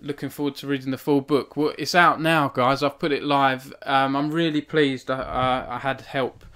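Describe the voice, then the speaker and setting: A man's voice: medium pitch, steady tone, normal speed, bright, forceful tone. A man in his thirties, an author hosting a live stream from a small home room into a studio microphone, reading from his novel and then chatting earnestly with viewers, with frequent restarts. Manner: earnest and self-conscious, with frequent restarts and fillers when chatting. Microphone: studio microphone on a stand in front of him.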